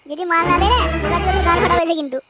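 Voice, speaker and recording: An actor voicing a cheeky, grumbling male sidekick in a crude cartoon, with a pitched-up voice. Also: rapid-fire, loud music behind the voice. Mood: grumbling, reluctant. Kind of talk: crude comic cartoon dialogue. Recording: noisy with insect and bird sounds.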